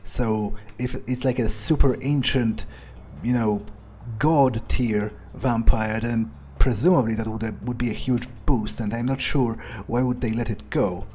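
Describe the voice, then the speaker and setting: A man role-playing an arrogant, disdainful vampire in a gameplay video, musing in a husky voice with halting, foul-mouthed phrasing. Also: medium pitch, fairly even intonation, mellow, natural voice. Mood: smug, musing. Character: arrogant, contemptuous male vampire musing to himself. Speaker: gamer role-playing in character. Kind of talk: in-character gameplay monologue, improvised. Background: music.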